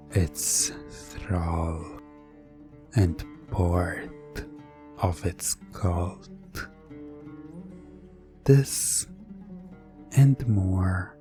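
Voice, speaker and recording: A man narrating a hypnotic second-person story in a theatrical, deliberate way, with dramatic pauses. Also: low-pitched.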